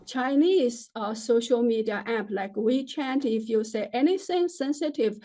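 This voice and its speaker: A woman speaking as a commentator in a live news interview. Her voice sits somewhat high, and she talks slowly, with halting phrasing and firm opinions. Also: dark tone. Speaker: interview guest, commentator on China.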